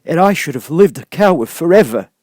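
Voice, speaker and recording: A man's voice: a little high, animated, brisk, a little mellow, no echo, clean studio sound, pitched above the performer's own voice. A man voicing a big shaggy, timid lion in a storybook, hesitant, stammering and earnest.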